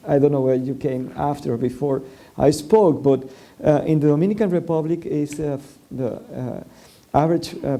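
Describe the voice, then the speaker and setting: A man's voice: mid-range voice, mellow. A man from Venezuela speaking on a public forum panel, hesitant, with many fillers and restarts; Spanish is his first language.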